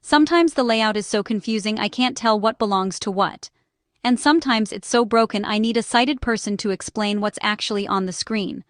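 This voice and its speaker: A woman's voice: mid-range voice, moderate pace, no room sound, clean studio sound. A woman reading a scripted explainer narration in short, instructional phrases. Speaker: narrator and small business owner explaining accessibility.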